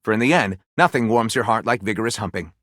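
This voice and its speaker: A man's voice: lowish voice, fast talker, fairly bright tone, dry recording, studio quality, close to an everyday voice. A man voicing a theatrical, wry storyteller and snide critic in a video game, mock-grandiose and sardonic, as if narrating a storybook. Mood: mock-dramatic narration. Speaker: voice actor.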